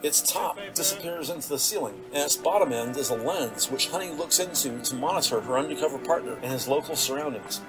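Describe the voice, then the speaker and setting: A man narrates a scripted audio drama in a fairly high voice. His delivery is measured and descriptive, carrying the story along step by step. Music plays clearly behind him. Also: expressive, rough recording.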